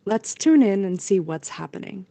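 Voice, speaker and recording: A woman's voice: mid-range voice, expressive, moderate pace, gravelly, warm tone. A woman narrating a scripted audio drama as its storyteller.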